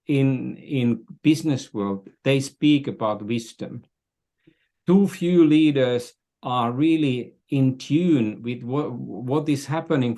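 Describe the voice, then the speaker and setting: A man in his sixties with a Finnish accent, speaking as a podcast guest in measured, halting, thoughtful phrases. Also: medium pitch, mellow. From Finland. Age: 60s. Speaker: podcast guest, business coach. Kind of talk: thoughtful interview talk.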